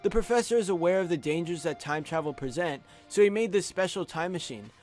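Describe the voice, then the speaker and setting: A man's voice: high voice, animated, fairly quick, a little mellow, soft background music. A young adult man giving scripted top-five countdown commentary as a video host, speaking into a close microphone. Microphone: close USB studio microphone.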